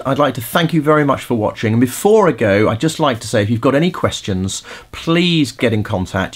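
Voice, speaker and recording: A middle-aged man hosting a podcast, giving casual vocal tips in a chatty way with many fillers and restarts. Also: medium pitch. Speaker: podcast host and vocal coach.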